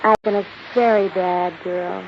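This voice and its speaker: A woman's voice: mid-range voice, steady tone, measured pace, natural voice. An actress playing a flippant, coy and callous young woman in a 1950s radio drama. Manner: coy, mocking. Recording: dull 1950s radio recording, faint noise.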